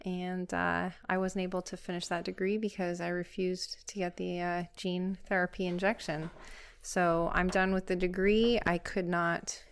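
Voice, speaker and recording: Nurse lady being interviewed, smooth clear voice, kinda low, steady matter-of-fact. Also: normal speed. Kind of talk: interview answers.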